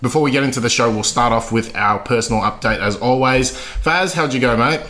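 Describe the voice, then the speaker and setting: A man's voice: mid-range voice, fairly quick, pushed and bright. An Australian man hosting a sports podcast, chatty and upbeat, laughing as he talks. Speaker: podcast host. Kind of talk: casual podcast chat.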